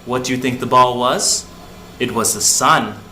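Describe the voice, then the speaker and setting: A male storyteller narrates a folk tale for children in short, simple phrases. His medium-pitched voice rings in an echoey room with faint noise behind it. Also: fairly even intonation, measured pace, pushed and bright.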